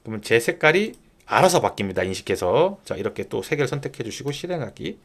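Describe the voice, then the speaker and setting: A man narrating a software tutorial step by step in informal polite speech, often posing a question and then answering it himself. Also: medium pitch, big pitch swings, quick pace. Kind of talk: screen-recording tutorial narration, explaining step by step.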